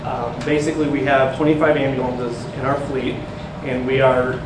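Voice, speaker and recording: Male ambulance manager at a public meeting; flat delivery; far-off, noisy room sound. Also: mid-range voice, moderate pace. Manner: plain, explanatory, many fillers. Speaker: ambulance company operations manager. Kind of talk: answering questions at a public meeting. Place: city council chamber. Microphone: distant room microphone. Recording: echoey hall, distant mic, hum.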